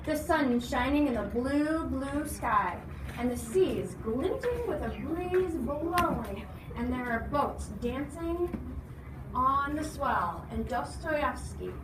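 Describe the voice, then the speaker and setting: A woman's voice: sits high, lively intonation, takes it slowly. A woman reading a storybook aloud expressively, stopping to explain and ask questions. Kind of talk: animated storybook read-aloud with asides. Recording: noisy, echoey room, distant mic.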